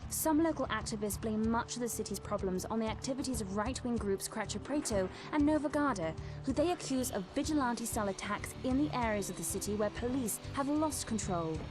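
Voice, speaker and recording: Actress as a composed, higher-voiced news anchor. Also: female voice, expressive, a little fast, few pauses, over music, close to an everyday voice. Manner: formal, composed. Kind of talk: scripted news reading.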